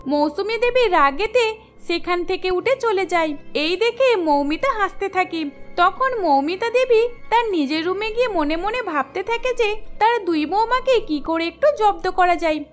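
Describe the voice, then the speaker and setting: A woman narrating a cartoon story and voicing its characters in a quick, lively way. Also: very high voice, soft background music. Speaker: narrator and voice actor.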